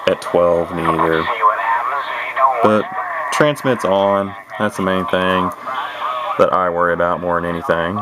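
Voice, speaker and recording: A man giving a rambling, casual showcase as a radio collector, halting and repeating his words as he goes. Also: deep voice, fairly even intonation. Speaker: radio collector presenting his own equipment. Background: radio speaker playing static.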